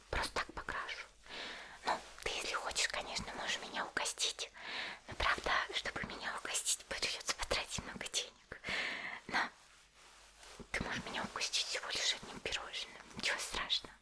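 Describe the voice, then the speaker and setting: A woman playing a sweet, chatty young anime character in an ASMR roleplay, whispering softly and gently as she tends a friend's hair. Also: lightly acted. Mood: tender, doting. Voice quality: soft, breathy whisper. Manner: gentle, unhurried, cooing.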